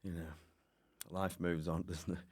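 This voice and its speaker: A man's voice: low-pitched, level tone, unhurried. A man chatting casually as a podcast guest, telling rambling, self-deprecating comic anecdotes with a giggly manner. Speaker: guest comedian.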